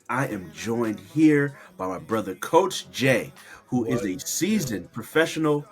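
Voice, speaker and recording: A man's voice: mid-range voice, a bit bright. Podcast host, a man with emphatic delivery. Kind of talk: interview podcast introduction and casual questions.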